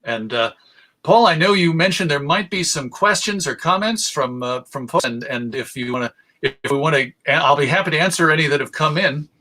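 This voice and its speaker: American guy presenting online, bright crisp tone, a bit halting, dry humor. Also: on the high side, expressive. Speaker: presenter of a radio history talk. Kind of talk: informal lecture to an online audience.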